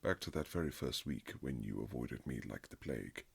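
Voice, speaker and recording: A man playing a reserved aristocratic husband in an intimate romantic period audio drama, speaking formally and haltingly with earnest tenderness in a gravelly, husky voice. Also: a bass voice, expressive, full character voice. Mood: earnest, pleading. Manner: formal, old-fashioned, halting, earnest phrasing.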